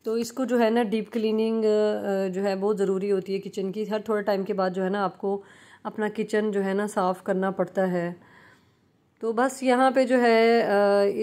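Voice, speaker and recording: A young adult woman chatting through a home cleaning vlog as a relaxed voice-over, full of fillers and restarts. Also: mid-range voice. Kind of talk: casual voice-over narration. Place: home kitchen.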